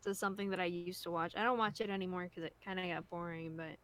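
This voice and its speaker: A woman's voice: lowish voice, steady tone, fast, fairly bright tone. A woman co-hosting a group stream, talking casually about the show she helps write.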